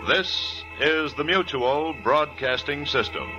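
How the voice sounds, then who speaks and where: A man's voice: a little high, slow. A man announcing formally from a script in 1940s radio style. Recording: dull old radio recording with music.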